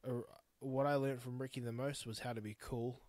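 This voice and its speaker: A man's voice: medium pitch, little pitch movement, a little fast, darkish tone, no echo. Blunt, swearing New Zealand man in his thirties. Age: 30s. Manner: blunt, swears freely, rambling and heartfelt. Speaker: podcast host. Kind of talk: candid solo podcast monologue.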